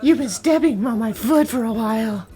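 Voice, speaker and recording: A woman voicing a timid male office designer with little presence, halting in delivery, in an animated fan dub. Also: fairly high pitch, faint music behind, natural voice. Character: meek, faint-voiced male office designer with weak presence.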